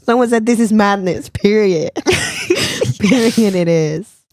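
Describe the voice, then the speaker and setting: A woman chatting casually as co-host, laughing often and protesting playfully, her voice going hoarse. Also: medium pitch, expressive, slow, slight background noise. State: losing her voice. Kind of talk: casual chat.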